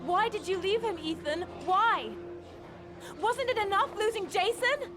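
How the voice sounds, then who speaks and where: A man's voice: very high voice, expressive, measured pace, over music, pitched above the performer's own voice. A man voicing a grieving ex-wife who reproaches and pleads with her former husband. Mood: accusing. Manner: reproachful, pleading, breaks into apology. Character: grieving, accusing ex-wife, middle-aged woman.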